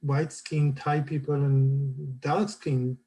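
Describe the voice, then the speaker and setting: Panelist: Israeli-accented man, slow and halting, flat mellow delivery. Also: medium pitch, husky. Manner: hesitant, searching for words. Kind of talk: personal account on a panel.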